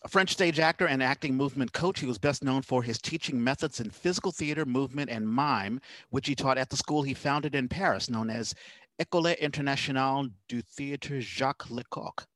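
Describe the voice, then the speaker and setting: A man hosts a relaxed, conversational interview in a slightly lower-pitched voice than many speakers or an average one. He explains things in a hesitant, rambling way. The sound is close and dry, with little room in it. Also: fairly quick, dry recording. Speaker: podcast host.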